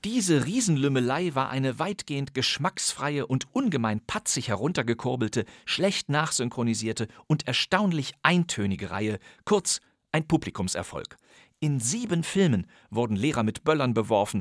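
A man's voice: mid-range voice, dry recording. A man hosting a radio podcast, reading a script with wry, ironic commentary in a studio. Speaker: podcast host.

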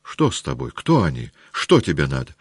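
A man voicing a flustered, weary older countess who speaks softly. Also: very deep voice, huge pitch swings, moderate pace, slightly rough, no room sound, clean studio sound, pitched below the performer's own voice, heavily acted. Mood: subdued.

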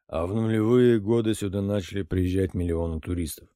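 A man reading an audiobook, voicing a composed middle-aged intelligence colonel who reports in a measured, matter-of-fact way. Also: deep voice, mellow, no room sound, clean studio sound, close to an everyday voice. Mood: even.

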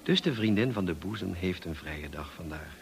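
An actor playing a brusque, dryly witty upper-class gentleman in a radio play, clipped and commanding, on a slightly noisy old recording. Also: low-pitched, animated, normal speed, vocal fry. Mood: dry, teasing. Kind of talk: radio drama acting.

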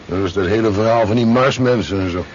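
An actor playing a blunt, skeptical local sheriff in a 1970s radio drama, dry and matter-of-fact. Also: male voice, lowish voice, fast, a little mellow, natural voice. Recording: dull, noisy 1970s radio recording.

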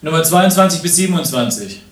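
An actor playing a reserved, hesitant, politely sceptical lovesick assistant in a German radio play, in an understated manner. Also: male voice, on the high side, expressive, fairly quick, breathy edge. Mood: matter-of-fact.

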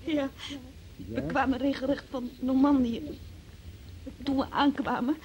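An actress playing an evasive, defensive young woman who gives a halting account under questioning in a Dutch radio play. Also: sits high, steady tone, a little mellow. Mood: hesitant recounting. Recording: noisy old radio play recording.

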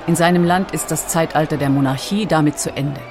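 A woman with a fairly low voice narrates a documentary from a script. Soft music plays faintly behind her. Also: fairly quick, crowd noise.

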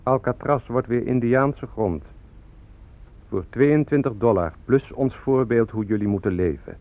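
Defiant activist spokesman, very animated delivery. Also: medium pitch, a little slow, phone-quality sound. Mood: ironic, deliberate. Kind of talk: radio drama acting, declamatory.

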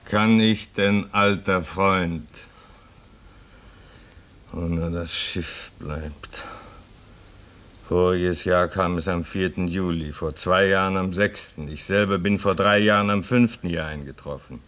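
Tiefer, schleppender, heimwehkranker Mann. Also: male voice, low voice, fairly even intonation, slow pace, fairly bright tone. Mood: brooding, weary. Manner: brooding, theatrical, swinging between muttering and outcry. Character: homesick, restless polar station man desperate to go home. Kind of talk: German radio play acting.

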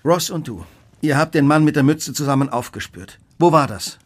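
An actor playing a brilliant, coolly analytical detective in a German radio play, speaking precisely and with authority. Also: male voice, a little high, expressive, moderate pace. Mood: urgent, probing.

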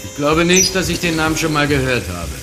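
An actor playing an evasive, defensive innkeeper in a radio crime drama, protesting and repeating himself. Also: male voice, a little high, big pitch swings, fairly quick, over music.

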